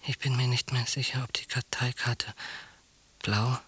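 An actor playing a watchful, paranoid psychiatric patient in a radio drama, muttering an obsessive inner monologue in a breathy, hissing, hushed voice. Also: male voice, medium pitch, level tone, a little slow. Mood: suspicious. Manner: hushed, obsessive, clipped sentences.

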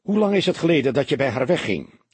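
Man reading as a husky, impatient police detective. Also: medium pitch, sing-song, no echo, pitched above the performer's own voice. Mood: impatient, probing. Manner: brisk orders and probing questions. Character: impatient, commanding male police detective, gruffly brisk. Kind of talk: audiobook character dialogue.

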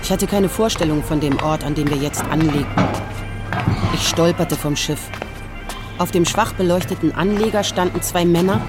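A woman's voice: on the low side, normal speed, natural voice. An actress playing a disillusioned, lonely woman of about forty who narrates a literary audio drama in a sober, wry, introspective way. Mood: melancholy, sober. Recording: loud music under the voice.

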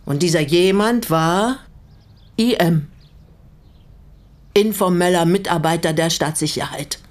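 An actress in a naturalistic radio drama playing an older East German widow who was once a prisoner, speaking deliberately and pointedly. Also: female voice, lowish voice, lightly acted. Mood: grave, bitter.

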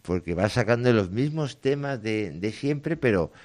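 A man co-hosting a casual literature chat, reading lines aloud and musing on them, often trailing off quietly. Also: on the low side, animated, unhurried, no room sound. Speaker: co-host discussing poetry.

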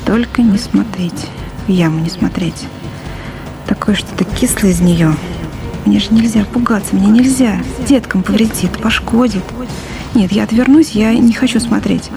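A woman's voice: mid-range voice, normal speed, vocal fry, lightly acted. A woman playing a terrified pregnant village mother, dazed with dread, in an audio drama monologue. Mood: terror. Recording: music behind the voice.

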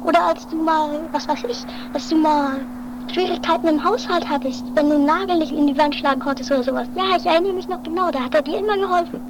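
A woman playing an anxious, high-strung, pleading woman in an improvised trashy radio play, with theatrical, plaintive delivery. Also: fast. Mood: chiding, reminiscing. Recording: very noisy 1980s home-made recording.

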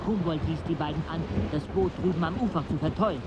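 An actor playing a travelling companion who recounts an expedition in a radio drama. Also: male voice, a little high, quick pace, muffled recording, very noisy, close to an everyday voice. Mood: grave, narrative. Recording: storm and water sound effects behind.

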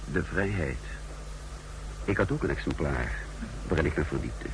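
Casting: brooding spy, low voice, measured monologue, noisy vintage radio recording. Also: male voice, expressive. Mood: detached, scornful. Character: secretive, scornful male spy recounting his mission. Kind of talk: 1960s radio drama monologue and narration.

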